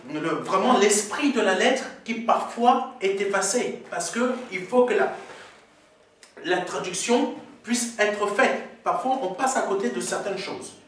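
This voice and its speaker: A male pastor preaches in a church sanctuary with a high, lively voice that swings up and down. He teaches point by point, often pausing for "Amen". The sound echoes heavily around the hall, picked up from a distance. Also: a little hiss or hum. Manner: teaching, explaining Greek words. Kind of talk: sermon. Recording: very echoey hall, mic far off.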